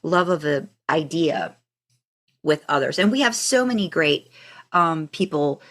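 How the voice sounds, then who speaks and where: A woman's voice: low voice, measured pace, a bit bright. A woman co-hosting a podcast, chatting casually in an easygoing way and often repeating her phrases. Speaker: podcast co-host.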